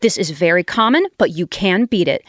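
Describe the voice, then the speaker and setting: A woman's voice: on the low side, expressive, brisk, dry recording, clean studio sound, natural voice. A woman playing an earnest coach in a comedy sketch, emphatic and pleading like someone in a crisis. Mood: firm, coaching. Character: earnest, urgent female coach battling a procrastinator.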